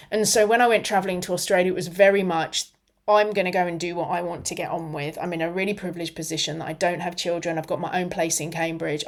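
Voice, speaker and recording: A woman in her forties, a radio interview guest, telling a personal story in an animated, reflective way. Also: fairly low pitch. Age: 40s.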